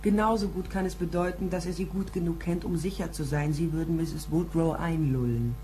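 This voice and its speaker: A woman's voice: fairly low pitch, steady tone, a little fast, warm tone, faint music behind, close to an everyday voice. An actress playing a tall, sardonic female private detective in a radio play, dry and challenging as she talks and narrates. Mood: dry, confrontational. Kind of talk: radio play dialogue and first-person narration.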